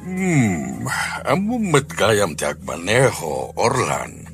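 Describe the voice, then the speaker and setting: A man playing a genial, generous older boss with a gravelly, husky voice and an Ilocano accent in a radio comedy drama. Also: on the low side, big pitch swings, slow pace, soft background music, heavily acted. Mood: considering.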